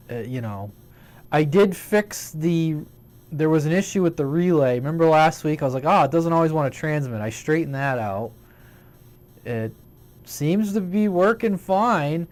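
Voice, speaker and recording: A man hosting a casual radio hobby stream, talking in a relaxed, rambling way with restarts. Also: a little high. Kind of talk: casual chat.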